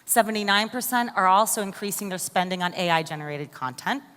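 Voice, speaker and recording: A woman's voice: medium pitch, animated, a little fast, a bit bright. American woman speaking in a big hall. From United States. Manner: enthusiastic, addresses the audience directly with questions. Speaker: conference speaker. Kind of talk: keynote presentation. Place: large conference hall. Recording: long hall reverb.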